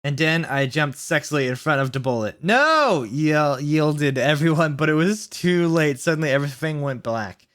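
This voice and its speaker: A man's voice: on the high side, moderate pace, fairly bright tone, no room sound, clean studio sound. A man hosting a read-aloud of fan fiction, delivering it theatrically with exclaimed quotes and mocking asides of his own. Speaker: host reading fan fiction aloud as a challenge.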